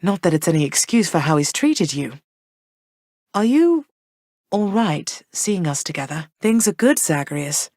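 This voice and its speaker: A woman voicing a gentle, thoughtful goddess queen and mother for a video game, speaking in a soft, measured, slightly formal way. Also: fairly low pitch, very animated, no room sound, studio quality. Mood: tender. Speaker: voice actress for a video game character. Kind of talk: recorded game voice lines.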